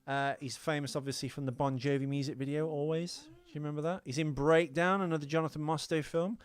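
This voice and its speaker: A man's voice: fairly high pitch, steady tone, quick pace, clean tone. A man co-hosting a podcast, talking casually about films in a rambling, jokey way with some swearing. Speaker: podcast co-host. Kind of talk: casual film chat with banter and mock voices.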